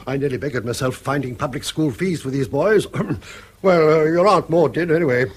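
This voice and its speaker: A man's voice: fairly high pitch, moderate pace, a little hiss or hum, natural voice. An actor playing a blunt, sardonic old British father in a radio drama, blustering, mocking and given to exclamation. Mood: grumbling, mocking.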